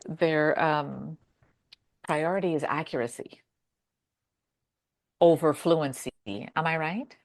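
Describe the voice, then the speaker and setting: Low-voiced woman, lively storyteller. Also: takes it slowly, pauses often. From Brazil. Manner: animated storyteller who acts out quotes. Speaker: podcast conversation partner. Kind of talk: casual conversation.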